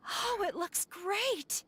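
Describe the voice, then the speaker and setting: A woman's voice: very high voice, fairly bright tone, a little hiss or hum, exaggerated voice. An actress playing an eager, chatty young shopper who admires her friend in a fantasy video game.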